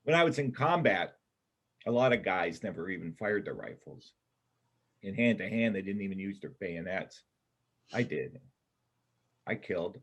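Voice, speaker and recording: A man performing a reader's theater part as a gruff, cynical bank robber and combat veteran, blunt and colloquial in his talk. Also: low-pitched, animated, normal speed, long pauses, a bit bright, heavily acted. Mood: grim, matter-of-fact. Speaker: amateur church play performer.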